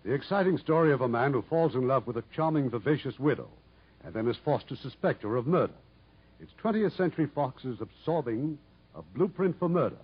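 A man's voice: medium pitch, breathy edge. A man hosting a 1950s radio show with formal, florid scripted introductions. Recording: muffled vintage 1950s radio recording.

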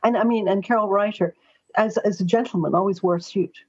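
A woman's voice: mid-range voice, steady tone, fairly quick, a little mellow, husky. An American woman in middle age or older, a forthright and chatty interview guest telling anecdotes conversationally. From Los Angeles, United States. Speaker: astrologer and columnist, interview guest. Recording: slightly dull, lo-fi audio.

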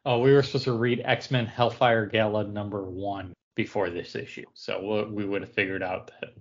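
A man's voice: fairly low pitch, flat delivery, normal speed, lo-fi sound. A man co-hosting a podcast, chatting casually about comic books. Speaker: podcast co-host.

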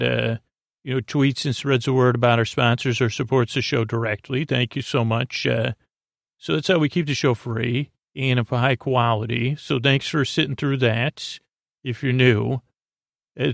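A man hosting a sleep podcast, talking in a meandering, halting way with many fillers and restarts and a creaky voice. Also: medium pitch, fairly even intonation, no room sound, studio quality. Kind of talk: rambling bedtime podcast intro.

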